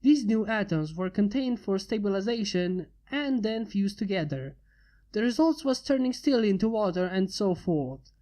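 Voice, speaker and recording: A woman reading a fan story aloud in plain, steady narration, lightly voicing the characters. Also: mid-range voice, normal speed, low-quality recording. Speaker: fanfic reader.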